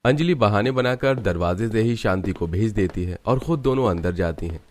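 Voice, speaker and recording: Low-voiced man narrating a cartoon story. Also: animated, moderate pace, a little mellow.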